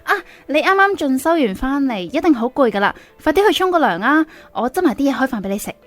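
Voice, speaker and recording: A woman's voice: high voice, big pitch swings, fast talker. A woman acting in a Cantonese radio drama as a conflicted 24-year-old teacher, with a Hong Kong accent. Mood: flustered, attentive. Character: 24-year-old female teacher, engaged, conflicted, secretly involved with student.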